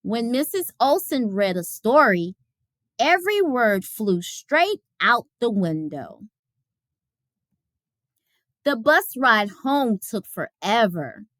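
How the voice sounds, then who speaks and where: Slow, highly expressive woman storyteller. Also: no room sound, clean studio sound. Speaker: read-aloud storyteller. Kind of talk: expressive children's picture book narration.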